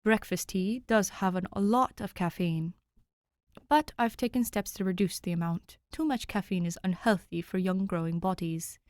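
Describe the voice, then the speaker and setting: A woman plays an elderly English butler from a storybook, refined and gently proud. The delivery is formal, courteous and measured, recorded close and dry. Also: medium pitch, no echo, close to an everyday voice. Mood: courteous, instructive.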